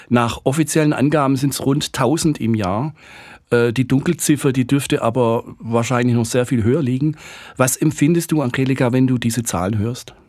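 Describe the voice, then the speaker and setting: Measured male campus radio host. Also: mid-range voice, a little mellow, no echo, studio quality. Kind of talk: campus radio interview and presenting. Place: radio studio.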